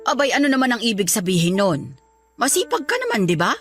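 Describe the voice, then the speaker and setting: A woman's voice: medium pitch, sing-song, quick pace, slightly rough, soft background music. A woman playing a blunt but caring Filipina grandmother and mother, scolding and exclamatory, in a Tagalog radio drama. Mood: surprised, indignant. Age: older.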